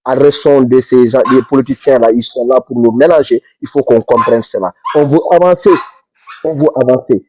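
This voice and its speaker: A man's voice: medium pitch, normal speed, noisy background. A man from Senegal, giving an impassioned political speech with fervent, repetitive emphasis. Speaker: pan-African activist speaker. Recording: dull dry lo-fi recording.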